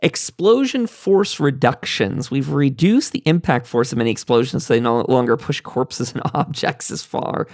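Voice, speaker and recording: A man's voice: medium pitch, lively intonation, moderate pace, few pauses, a little mellow, no echo, studio quality. A man hosting a casual roundtable stream, rambling through notes and adding offhand asides as he reads aloud. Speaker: podcast host and panel moderator. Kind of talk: casual livestream roundtable, reading and commenting on notes.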